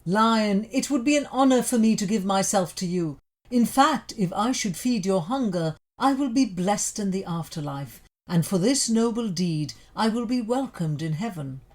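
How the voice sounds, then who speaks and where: A scheming jackal from a storybook fable, voiced by a woman. He is formal, wordy and flattering, pressing his case with very animated intonation. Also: mid-range voice, moderate pace, natural voice.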